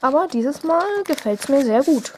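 A woman's voice: high voice, normal speed, a little mellow, slight background noise. A young woman chatting casually as she unboxes stickers at her desk, hesitant with many fillers and small giggles. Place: indoors at a desk, home room.

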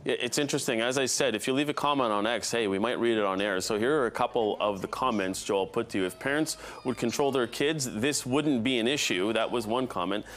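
A man anchoring a news debate in a relaxed way, laughing along with guests. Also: mid-range voice, fairly quick, hardly any pauses.

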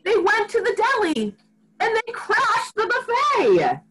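A woman reads a children's picture book in a high, very lively voice that swings up and down. She takes it slowly and expressively, with a sing-song delivery for preschoolers, speaking into a computer at home. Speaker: rabbi reading to preschoolers. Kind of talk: animated picture-book read-aloud for young children. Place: small furnished room at home. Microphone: computer microphone on a video call.

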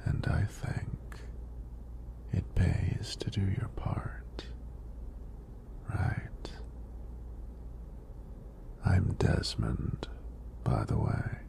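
A man playing a friendly, teasing EMT in a soft-spoken ASMR roleplay, with a deep, breathy, gravelly voice and an unhurried, reassuring manner. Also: big pitch swings, heavily acted. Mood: playful, easygoing. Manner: unhurried, reassuring, lightly playful. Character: friendly, teasing young male EMT doing a screening.

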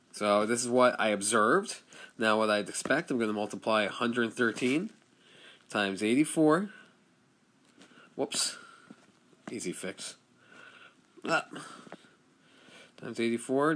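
A man's voice: mid-range voice, slight background noise. A male statistics teacher recording a screencast lesson, talking through each calculation step by step as he works it out. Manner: steady step-by-step explaining, talks through calculations aloud.

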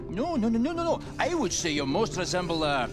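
An actor playing an irascible, sarcastic, foul-mouthed Scottish resistance fighter in a video game. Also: male voice, high voice, huge pitch swings, a little fast, music in the background. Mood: scornful. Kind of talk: video game cutscene acting.

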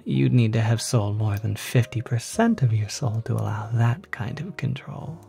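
A man playing a polite, quietly sinister demon concierge in an ASMR roleplay, speaking softly in half-whispers with gentle, hesitant persuasion. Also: lowish voice, normal speed, soft background music. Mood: reassuring. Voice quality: soft, breathy, half-whispered.

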